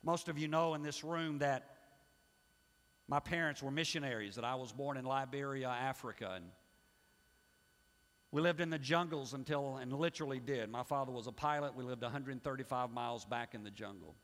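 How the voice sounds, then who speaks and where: A middle-aged male pastor preaching a sermon, emphatic and swinging between loud pushes and hushed asides. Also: medium pitch, moderate pace. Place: church sanctuary. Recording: long hall reverb.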